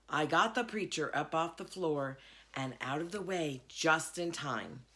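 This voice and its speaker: Slow, low-voiced woman reading a story. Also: fairly bright tone. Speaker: reader, school board member. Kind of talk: children's novel read-aloud.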